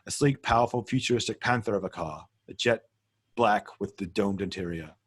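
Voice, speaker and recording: Male narrator, raspy, lowish pitch, matter-of-fact, reading screenplay directions, occasional stumbles. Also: a little fast. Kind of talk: script table read narration.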